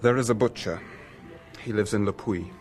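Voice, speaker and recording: Man acting a low-pitched, fretful restaurant owner. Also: normal speed, faint music behind, lightly acted. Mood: exasperated. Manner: fretful, exasperated. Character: fussy, aggrieved male restaurant owner, anxious for discretion. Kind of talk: radio drama acting.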